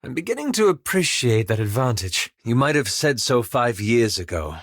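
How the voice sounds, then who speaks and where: A man playing a weary, guilt-ridden elf adventurer in a video game, speaking in a measured, formal and articulate way with slight hesitance. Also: medium pitch, sing-song, dry recording, clean studio sound. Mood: weary, regretful. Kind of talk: video game character dialogue.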